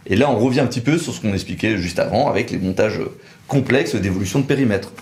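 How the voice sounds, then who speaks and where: A man's voice: fairly low pitch, fast talker, a little mellow, a little hiss or hum. Man explaining law in an echoey room. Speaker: lawyer explaining procedures. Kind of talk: technical legal explainer discussion. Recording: roomy, echoing sound.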